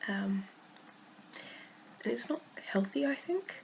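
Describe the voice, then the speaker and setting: A woman with a cold and a croaky voice, frankly venting in a casual vlog and laughing often. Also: on the high side, lively intonation, slow pace, muffled recording, a little hiss or hum. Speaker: vlogger.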